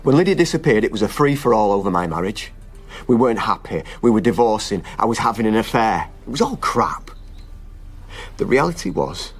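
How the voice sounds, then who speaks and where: A man's voice: mid-range voice, husky. An actor playing a weary, anguished husband and father in a naturalistic radio drama.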